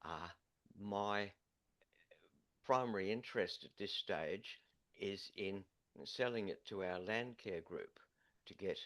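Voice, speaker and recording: A man, pausing often with "uh" as he hunts for his words. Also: sits low, a bit bright. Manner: hesitant, many uh pauses. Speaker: webinar participant, landcare group member. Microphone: video call.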